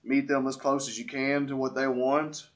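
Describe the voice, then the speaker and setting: Stuffy-nosed guy with a head cold, mid pitched, chatty curious interviewer vibe. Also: little pitch movement, normal speed. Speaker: podcast host, wild mushroom forager. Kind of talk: casual interview.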